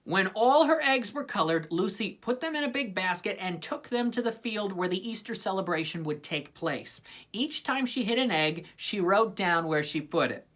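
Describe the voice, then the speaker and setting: A woman's voice: mid-range voice, expressive, moderate pace, fairly bright tone. A woman reading a children's story aloud with plenty of expression. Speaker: storybook reader.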